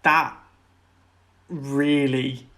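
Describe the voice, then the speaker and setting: A man's voice: mid-range voice, flat delivery, extremely slow, sharp tone. A man co-hosting a podcast, casually discussing film and TV in an earnest, opinionated way. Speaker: podcast co-host.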